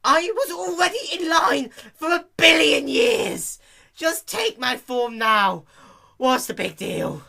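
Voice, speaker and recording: A man voicing a brash, impatient young female pegasus pony in an amateur story reading, loud and exasperated. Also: extremely high pitch, lively intonation, slow pace, creaky, voice pushed up, full character voice.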